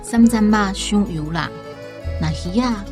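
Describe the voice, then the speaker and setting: An actress with a Taiwanese accent playing a practical nurse wife in a radio drama, matter-of-fact. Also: female voice, medium pitch, unhurried, close to an everyday voice. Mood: practical, reassuring. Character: practical wife, a nurse, advising her husband. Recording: music behind the voice.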